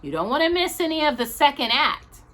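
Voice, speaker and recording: A woman's voice: high voice, very animated, brisk, a bit bright, pitched above the performer's own voice. Woman reader as a fussing motherly wife, lilting. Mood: concerned. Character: fussing, motherly married woman, gently worried.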